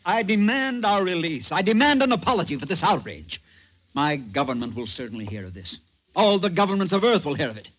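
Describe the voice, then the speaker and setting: A man's voice: high-pitched, sing-song, fairly quick, fairly bright tone, slight background noise, poor audio. An actor playing an exasperated, commanding rocket captain who insists on his sanity, in old-time radio drama style. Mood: indignant. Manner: commanding, indignant, emphatic.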